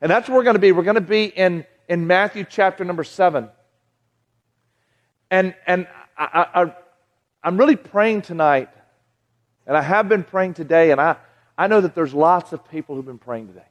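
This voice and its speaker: A Southern American man in his forties preaches in a folksy, conversational way, stopping and restarting his phrases and talking right to the crowd. His voice sits a little high for a man. A long reverb from a large hall trails behind him. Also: normal speed, long pauses, muffled recording. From Southern United States. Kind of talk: sermon to a live audience.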